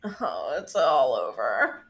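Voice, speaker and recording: A woman's voice: extremely low pitch, sing-song, slow pace, gravelly, a bit bright, heavily acted. A woman reading the part of an irritable, exasperated spaceship crew member in a script table read, complaining as she goes. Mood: grumbling.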